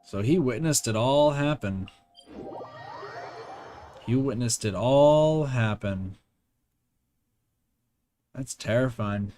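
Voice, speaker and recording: A man's voice: mid-range voice, slow pace, long pauses, dark tone. A young man commentates casually on a game stream. He talks in loose slang, repeating his phrases and swearing offhand, with faint game music underneath.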